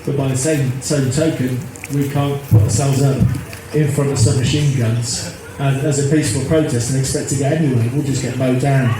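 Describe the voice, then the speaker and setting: A man's voice: medium pitch, steady tone, normal speed, hardly any pauses. A man in his fifties, an activist being interviewed, talking earnestly in a rambling way that repeats itself. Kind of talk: casual interview. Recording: echoey, noisy phone recording.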